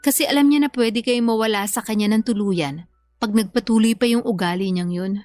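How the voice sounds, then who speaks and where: A woman's voice: a little high, fairly quick, darkish tone, no echo, soft background music, natural voice. A woman playing a sensible, sympathetic friend who reasons and gives frank advice in a Filipino radio-style drama. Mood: reassuring. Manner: reasoning, advising.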